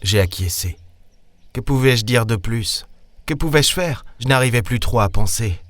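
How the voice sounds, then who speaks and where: A man's voice: mid-range voice, a little slow, a bit bright, no echo, natural voice. A man playing a weary, lonely space captain, narrating an audio drama in brooding, hesitant short phrases. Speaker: narrator and lead character of an audio serial. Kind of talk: dramatic first-person audio fiction narration.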